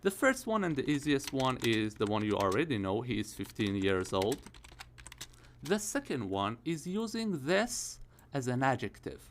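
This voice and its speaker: A man in his early thirties gives a grammar lesson at a mid-range pitch. He explains each point step by step and keeps checking in with his viewers. Keyboard typing is heard behind him. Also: big pitch swings, moderate pace, slight background noise. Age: 30s. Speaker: English teacher.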